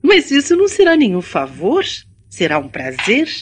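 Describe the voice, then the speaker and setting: A woman's voice: fairly high pitch, huge pitch swings, moderate pace, slight background noise. A woman playing a polite, grateful traveller in a radio drama, well-spoken with a Brazilian accent. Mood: gracious.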